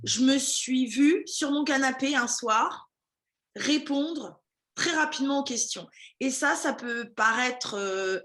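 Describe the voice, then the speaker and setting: A woman's voice: high voice, slow pace, fairly bright tone. A woman running a language school, chatting casually in an interview with many fillers and restarts.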